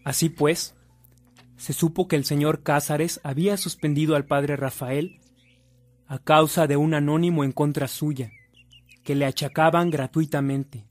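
A man's voice: on the high side, fairly even intonation, measured pace, darkish tone, faint music behind. A man reading scripted narration for a radio drama as its storyteller.

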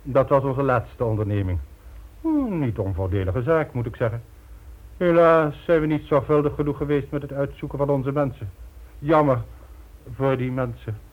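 Radio drama villain, played by a man: suave, ruthless, condescending businessman of crime, animated delivery, muffled lo-fi recording. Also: medium pitch, moderate pace, close to an everyday voice. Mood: smug, businesslike. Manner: smug, polished, coolly condescending. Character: suave, ruthless male criminal mastermind running a business. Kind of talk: Dutch radio play acting. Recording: dull lo-fi old radio recording.

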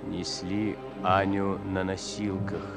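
A man narrating a wartime radio play as a solemn storyteller, with measured, weighty literary phrasing and pauses. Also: deep voice, flat delivery, creaky, loud music behind the voice, full character voice. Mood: somber, tender. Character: solemn storyteller of a war tale.

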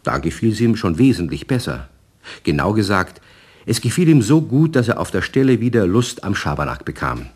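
A man narrating a children's radio play as an expressive, colloquial storyteller. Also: on the low side, a little fast, breathy edge.

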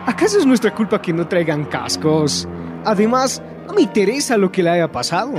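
A man's voice: high-pitched, sing-song, moderate pace, over music. A man with a Bolivian accent playing a cocky, domineering teenage bully in a radio drama, taunting and slangy. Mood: defiant, possessive. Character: cocky, bullying, possessive teenage gang leader.

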